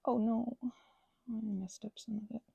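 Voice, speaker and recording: A woman chats quietly while she works on a craft, drifting from tangent to tangent and breaking off mid-sentence. Her voice is dark, mellow and heavily gravelly, caught close on a dry mic with craft clicks behind. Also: on the high side, fairly even intonation, a little slow, no echo, muffled recording. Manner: meandering, self-interrupting, soft whispers and mild exclamations. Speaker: ASMR creator. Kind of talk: soft rambling ASMR craft chat.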